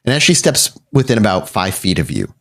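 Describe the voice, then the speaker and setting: A man narrating a tabletop role-playing game as storyteller, with dramatic, deliberate descriptive phrasing. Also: lowish voice, wildly swooping pitch, creaky. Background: soft background music.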